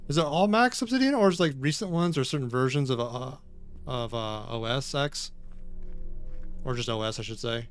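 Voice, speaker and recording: A man's voice: mid-range voice, moderate pace, clear, resonant tone. Casual streamer man chatting over music. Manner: thinking aloud, chatting with viewers, frequent fillers. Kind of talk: casual live-stream gaming commentary.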